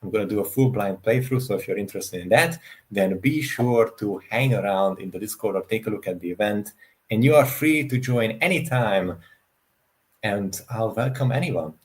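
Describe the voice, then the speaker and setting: A man's voice: on the low side, normal speed. A man speaking casually as a guest panelist on a livestream, over a voice call.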